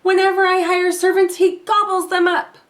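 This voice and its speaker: A woman voicing a frantic, overworked giantess in a storybook, crying out loudly. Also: extremely high pitch, monotone, moderate pace, voice pushed up.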